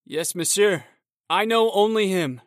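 A man's voice: high voice, lively intonation, unhurried, no room sound, studio quality. A man reading the part of a young, polite swordsman newly arrived in the city, earnest and courteous. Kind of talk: audiobook character reading.

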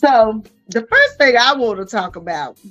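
A woman's voice: a little high, wildly swooping pitch, normal speed, fairly bright tone, soft background music. A woman in her fifties hosting a comedy podcast, chatting casually and telling stories in a blunt, playful, slangy way. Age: 50s.

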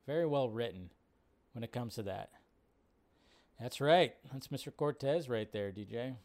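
A man's voice: medium pitch, expressive, moderate pace, a little mellow. A man hosting a livestream, giving casual, enthusiastic and exclamatory reaction commentary in an informal way. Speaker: livestream host.

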